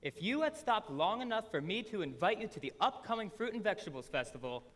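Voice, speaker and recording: A man's voice: high voice, very animated, quick pace, a bit bright, heavily acted. A man playing an indignant, lovestruck wolf in a stage fairy-tale comedy, defensive and argumentative. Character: indignant misunderstood wolf, lovestruck horticulture professor. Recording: live school stage recording.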